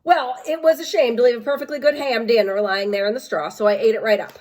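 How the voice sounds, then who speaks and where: A woman's voice: fairly high pitch, steady tone, fast, darkish tone, lightly acted. A woman voicing a chummy male storybook wolf who makes excuses for himself, theatrical, conspiratorial and mock-innocent. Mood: smug. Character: indignant wolf telling his side, chummy, self-excusing, male. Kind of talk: animated storybook read-aloud.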